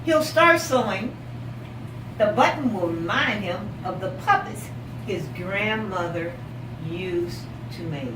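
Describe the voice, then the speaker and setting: A woman teacher reading a picture book aloud to young children, asking questions, repeating their answers encouragingly and stretching words for emphasis. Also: mid-range voice. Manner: expressive, drawn-out emphasis on story words. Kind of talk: interactive read-aloud storytelling with questions. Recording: echoey room, distant mic, loud background noise.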